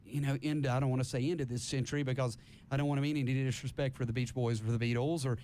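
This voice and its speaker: Effusive man hosting radio, faint rumble. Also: steady tone, rapid-fire, darkish tone. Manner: effusive, rambling, informal. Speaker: college radio host. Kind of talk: live band interview on radio. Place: radio station, live broadcast. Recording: faint rumbling background noise.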